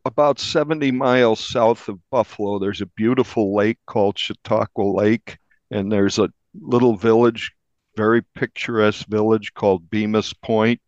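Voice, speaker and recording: A man speaking as an investigative journalist in an interview, telling what he reported in a measured, descriptive, conversational way. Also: on the low side, fairly even intonation, no room sound. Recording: dull, band-limited audio.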